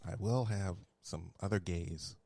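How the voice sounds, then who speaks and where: Laid-back low-voiced podcast host. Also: male voice, a little slow, vocal fry, darkish tone, breathy edge, no room sound. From Houston, Texas. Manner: laid-back, jokey, laughs often. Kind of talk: casual chat.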